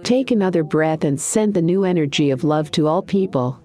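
Low-pitched woman guiding a meditation. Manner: calm, deliberate, soothing instruction with pauses. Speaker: meditation guide and coach. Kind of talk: guided meditation narration.

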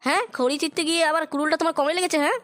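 A man's voice: very high voice, fairly even intonation, very fast, fairly bright tone, no echo. A man voicing an idle, wheedling, foul-mouthed grown son in a crude comedy cartoon. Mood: concerned, wheedling. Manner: whining, foul-mouthed, grumbling.

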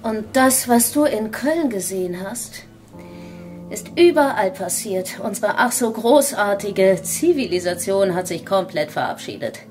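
An actress plays a woman who has survived a catastrophe and explains it gravely. She is emphatic and matter-of-fact, in radio drama style. Soft music plays behind her. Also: medium pitch, lively intonation, measured pace, close to an everyday voice. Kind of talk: German radio drama acting.

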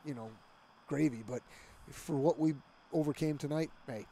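A man's voice: on the high side, normal speed, warm tone, slight background noise, low-quality recording. A middle-aged man, a head soccer coach, talking candidly in a postgame interview through a headset mic in a small studio. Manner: candid, conversational, with frequent fillers. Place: small studio with green backdrop.

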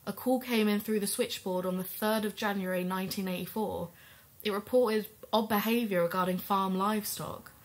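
A woman's voice: medium pitch, fairly even intonation, normal speed, close to an everyday voice. An actress playing a sincere, determined woman who co-hosts an investigative podcast in an audio drama. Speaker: podcast co-host.

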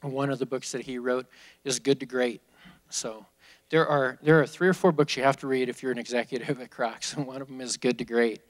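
A man in his fifties, a company executive, talking candidly and off the cuff into a handheld mic from a seated spot on an auditorium stage. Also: mid-range voice. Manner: candid, off-the-cuff, with frequent restarts. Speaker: company executive speaking at a business school event. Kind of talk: conversational onstage Q&A.

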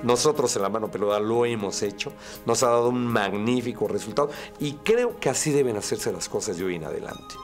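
A man's voice: medium pitch, brisk, few pauses, over music. A middle-aged man with a Mexican accent speaking earnestly and emphatically in an interview. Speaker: radio host. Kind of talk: documentary interview. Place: furnished indoor room. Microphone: lapel mic.

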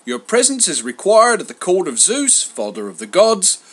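A storybook trickster messenger god, young and cheeky, acted by a male narrator. He pitches his voice well up and proclaims loudly, with lively swings and slangy, brash confidence. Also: moderate pace, vocal fry, husky, heavily acted. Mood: grand proclaiming.

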